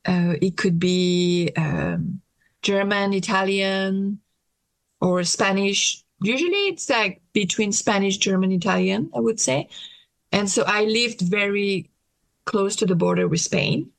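A woman in her late thirties with a French accent speaks English, her second language, in a relaxed interview, pausing often and restarting her sentences. Her voice has a gravelly edge, and the recording is close and dry. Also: mid-range voice, no room sound. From France. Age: late 30s. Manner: hesitant, thoughtful, with many pauses and restarts. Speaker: interview guest. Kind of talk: casual interview conversation.

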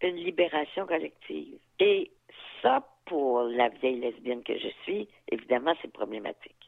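A woman in her seventies with a Quebec French accent, heard over a tinny phone line. Her voice has a gravelly fry. She talks frankly and reflectively, with fillers and asides. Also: rumbling low, expressive, normal speed. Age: 70s. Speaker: interviewee, activist. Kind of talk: reflective interview talk.

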